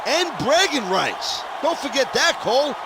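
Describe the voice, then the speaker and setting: A cocky, partisan wrestling commentator speaks in a very high voice. It is game commentary over a crowd and clear noise. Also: male voice, big pitch swings, measured pace, full character voice. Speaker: color commentator.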